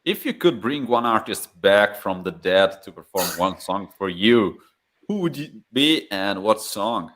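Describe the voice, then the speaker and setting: Guy cohosting a live chat, lowish voice, stammers a bit, jokey. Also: animated, a little slow, a bit bright. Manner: stammers on first words, playful, reads viewer questions. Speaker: co-host, band member. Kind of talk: casual live chat interview.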